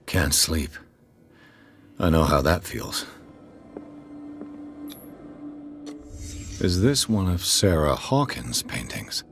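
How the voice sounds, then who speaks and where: An actor playing a weary, wry private detective in a video game, brooding and muttering to himself. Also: male voice, rumbling low, very animated, slow, fairly bright tone, faint music behind, heavily acted. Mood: brooding, suspicious.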